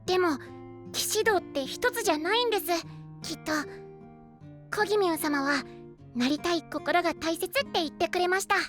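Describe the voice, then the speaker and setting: A man's voice: very high-pitched, moderate pace, a bit bright. A man playing a small, childlike boy knight in a fantasy audio drama, polite, eager and easily flustered. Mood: gentle, encouraging. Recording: voice over background music.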